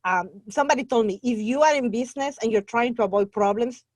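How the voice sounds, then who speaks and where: A woman's voice: a little high, quick pace, darkish tone, no echo. A middle-aged woman from Guatemala with a Spanish accent, a native Spanish speaker, telling her story as an interview guest in an earnest, talkative way. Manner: earnest, talkative, laughs easily. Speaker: guest, online Spanish teacher.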